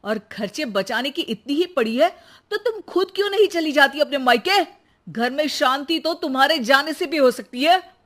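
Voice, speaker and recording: Woman acting exasperated young husband, raised pitch. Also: expressive, normal speed, fairly bright tone. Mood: angry. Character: exasperated young husband defending his mother.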